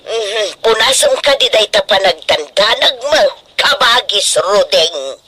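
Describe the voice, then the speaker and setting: Soothing, bright-voiced Filipino auntie. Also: female voice, medium pitch, expressive, unhurried. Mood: reassuring. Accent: Ilocano Filipino. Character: kindly, soothing middle-aged auntie, family confidante. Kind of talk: radio drama acting.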